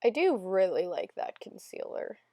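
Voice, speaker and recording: A young woman narrating a makeup tutorial in a casual, self-deprecating, rambling voiceover, her voice still a little hoarse from being sick. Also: medium pitch, very animated, normal speed, mellow, no echo, clean studio sound. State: slightly hoarse, recovering from illness. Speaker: beauty vlogger.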